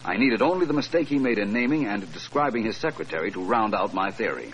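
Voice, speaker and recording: An American man playing a suave, self-assured detective in a 1940s radio drama, speaking in measured, precise phrases. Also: on the low side, big pitch swings, a bit bright. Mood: explanatory, assured. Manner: measured, precise, probing questioning and dictation. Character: suave, self-assured male detective solving a murder case. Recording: noisy old 1940s radio recording.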